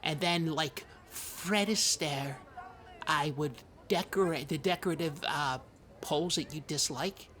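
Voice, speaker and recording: A performer playing a fussy, easily flustered small-town man, halting, prim and defensive in improvised comedy chat. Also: medium pitch, fairly bright tone, a little hiss or hum, close to an everyday voice. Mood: wistful, delighted. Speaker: co-host of a comedy podcast.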